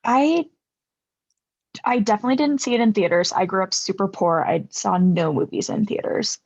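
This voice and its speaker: A woman co-hosting a podcast, chatting eagerly and agreeing quickly in a casual back-and-forth. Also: mid-range voice, expressive, creaky, dry recording. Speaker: podcast cohost. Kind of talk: casual chat. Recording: dull, slightly lo-fi mic.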